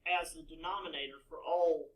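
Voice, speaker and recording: A man's voice: on the high side, steady tone, normal speed, a crowd in the background. A man on a math team explaining a problem to his coach, hesitant with lots of fillers. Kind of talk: casual explanation. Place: classroom. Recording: echoey classroom from far off.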